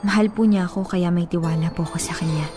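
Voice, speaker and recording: A woman's voice: lowish voice, steady tone, quick pace, warm tone, faint music behind, natural voice. A woman playing a meek but devoted young girlfriend in a radio drama, speaking politely and earnestly with a Filipino accent. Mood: earnest, defiant.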